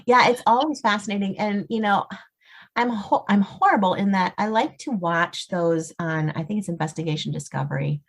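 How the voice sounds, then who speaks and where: A woman's voice: medium pitch, moderate pace, vocal fry. A woman speaking as a guest author in a casual interview, explaining things in a storytelling way with examples. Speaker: guest novelist.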